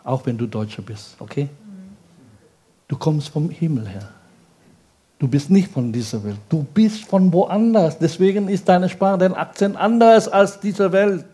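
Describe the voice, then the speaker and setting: A male pastor preaching in a language that is not his first, peppering his sermon with rhetorical questions and quick checks to the congregation. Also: sits high, expressive, dark tone, breathy edge. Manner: speaks German as a second language.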